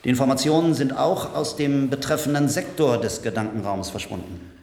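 An actor playing a grave, measured scientist who speaks formally and deliberately, giving a briefing in a radio play. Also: male voice, mid-range voice, lively intonation, a little mellow, close to an everyday voice. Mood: matter-of-fact. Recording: echoey hall with long reverb, faint noise.

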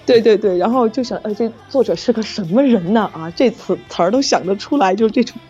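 A woman chatting casually as a guest author, halting and repeating phrases, with self-deprecating giggly humor. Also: mid-range voice, dark tone. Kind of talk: casual unscripted chat. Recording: muffled lo-fi audio, music behind. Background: saxophone background music.

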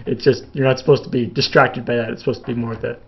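A man's voice: medium pitch, level tone, quick pace, vocal fry, slightly rough, muffled recording. A young man from Canada, chatting casually as a video host and answering viewer questions in a rambling way, with lots of fillers and restarts. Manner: rambling, many fillers and restarts, laughs a little. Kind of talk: casual live Q&A chat.

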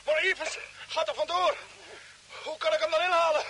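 An actor playing an urgent, concerned space expedition commander in a vintage radio drama, with exclamatory delivery. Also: male voice, very high-pitched, fairly even intonation, normal speed, pushed and bright, poor audio. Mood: alarmed, excited. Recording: hissy old radio recording, background noise.

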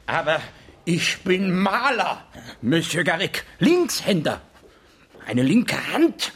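An actor playing a distraught, plaintive painter recovering from surgery in a radio crime drama, complaining in drawn-out phrases. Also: male voice, high-pitched, sing-song, a bit bright, husky, heavily acted. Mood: distraught, indignant. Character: distraught, plaintive male painter, an arm-transplant patient.

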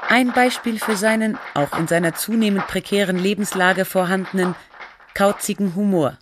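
A woman's voice: medium pitch, fairly even intonation, normal speed, noisy background, close to an everyday voice. A woman playing a sober, literary narrator and researcher in scripted documentary-style radio drama. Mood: measured, factual.

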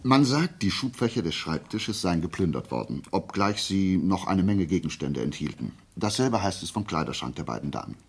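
A man acting in a radio play as a shrewd detective, explaining his deductions deliberately and didactically in a low, gravelly, husky voice. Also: big pitch swings, full character voice. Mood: probing, insistent. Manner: deliberate, didactic, checking in with short questions. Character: shrewd amateur detective methodically explaining a murder case.

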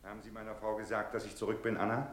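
Man acting tense tired husband, very low pitch, controlled instructing delivery, echoey room, radio drama. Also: little pitch movement, very fast, slight background noise, lightly acted. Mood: firm, hushed. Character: tense, weary husband searching for a missing child. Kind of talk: German radio play acting.

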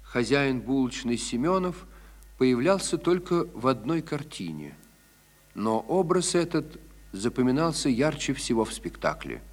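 Expressive male narrator, very varied pitch. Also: a little slow. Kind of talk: scripted documentary narration, expressive.

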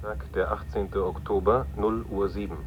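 An actor playing a formal late-night announcer heard through a car radio in a radio play. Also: male voice, lowish voice, steady tone, moderate pace. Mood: neutral, official. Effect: car radio filter. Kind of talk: scripted radio continuity announcing. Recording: dull car radio over engine noise.

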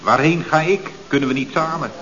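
An actor playing an earnest, deferential employee who talks haltingly and pseudo-profoundly in a radio comedy. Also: male voice, fairly high pitch, a bit bright, a little hiss or hum, lightly acted. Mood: dreamily earnest. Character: earnest, deferential male employee with woolly artistic ideals. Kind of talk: radio comedy serial acting. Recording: dull old radio recording.

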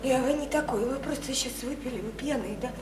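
A woman's voice: high-pitched, fast talker, lightly acted. An actress playing a meek, pitying, God-fearing simple woman who pleads artlessly and repetitively in a theatrical radio play. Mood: frightened pleading. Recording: roomy, distant, noisy stage-like radio play recording.

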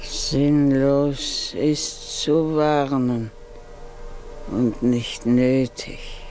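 An actor playing a grave, fatalistic man in a 1950s German radio drama, declaiming solemnly in measured phrases. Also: medium pitch, little pitch movement, darkish tone, faint music behind, rough recording. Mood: solemn, resigned.

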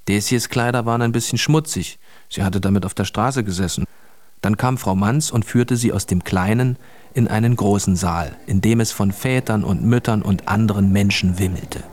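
A man's voice: on the low side, darkish tone, no echo, natural voice. A man narrating a children's radio play as a plain storyteller, reading a scripted tale.

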